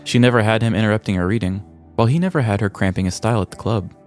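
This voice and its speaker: A man's voice: fairly low pitch, very fast, soft background music. An American man hosting a podcast, reading a scripted comedic story with arch, theatrical mock-seriousness. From United States. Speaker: podcast host.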